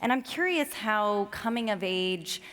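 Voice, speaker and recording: A woman's voice: medium pitch, animated, a little slow, a bit bright. A woman moderating an onstage discussion, putting questions to panel guests. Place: conference stage in a large hall. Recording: reverberant hall, stage sound system.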